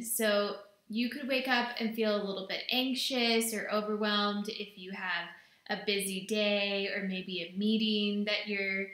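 A woman in her thirties presenting products to camera in a gentle, enthusiastic, unhurried way, in a small indoor room. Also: mid-range voice. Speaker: brand representative presenting products. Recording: echoey room.

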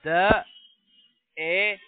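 Tinny phone-line man with halting, broken speech. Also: no room sound. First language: not German. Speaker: call centre phone scammer. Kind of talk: phone call giving instructions.

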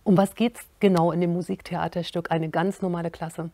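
A middle-aged woman moderating a panel, briskly introducing topics and firing off several questions at once. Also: lowish voice, little pitch movement, warm tone, dry recording.

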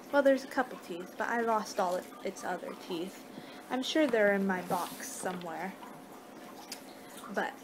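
Young American woman, noisy homemade recording. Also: mid-range voice. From United States. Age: young adult. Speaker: ASMR roleplayer playing a vampire. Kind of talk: casual ASMR roleplay. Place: living room indoors at home. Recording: noisy amateur webcam recording.

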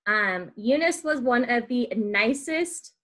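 A woman's voice: fairly high pitch, animated, takes it slowly, a bit bright. A woman in her twenties hosting a podcast, chatting informally and often saying "like" and "y'all". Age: 20s. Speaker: podcast host. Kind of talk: casual chatty interviewing.

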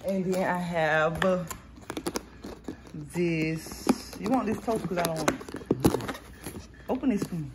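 A woman's voice: lowish voice, slow pace, long pauses. Chatty young woman vlogging. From Florida, US. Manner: chatty, talks straight to viewers as y'all. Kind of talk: casual vlog chatter. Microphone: phone camera held at arm's length. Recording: noisy phone audio.

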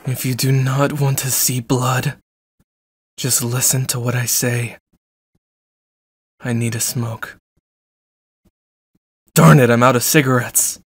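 A man's voice: mid-range voice, fairly even intonation, slow, long pauses, slightly rough, no echo. A man playing a jealous, controlling stalker in an ASMR roleplay, with a cold, clipped, threatening delivery. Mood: angry, agitated. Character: jealous, controlling, menacing young male stalker.

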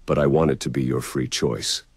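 A man playing a steady, caring, protective fantasy hero, speaking earnestly in intimate video game dialogue. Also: extremely low pitch, fairly quick, slightly rough, no room sound, exaggerated voice. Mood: earnest, reassuring.

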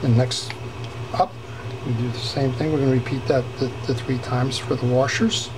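Unhurried man explaining a reel repair. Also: medium pitch, steady tone, background noise. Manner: unhurried, many fillers and restarts. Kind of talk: hands-on repair tutorial. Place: workbench in a workshop.

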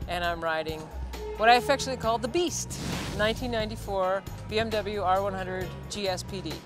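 A woman's voice: mid-range voice, a little fast. 30-something woman rider, friendly intro, music under it. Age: 30s. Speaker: tour rider. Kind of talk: documentary interview.

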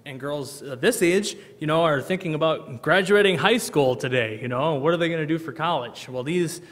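A man's voice: on the high side, moderate pace, fairly bright tone. A man in his thirties, a missionary giving a church presentation from the pulpit, earnest with many ums and uhs. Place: large church sanctuary, at a pulpit. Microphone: pulpit microphone, far camera. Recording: long reverb of a church hall.

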